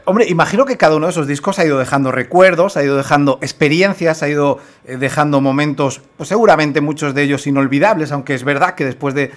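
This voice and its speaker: A man's voice: a little high, fast talker, few pauses. A male radio host introducing a guest and asking questions, rambling with many restarts and fillers. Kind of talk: radio music interview.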